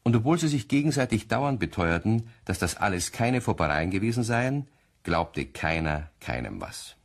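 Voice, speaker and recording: Deep, husky male storyteller, very animated. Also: normal speed. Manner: expressive storyteller. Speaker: narrator. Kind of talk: storytelling narration for a children's radio play.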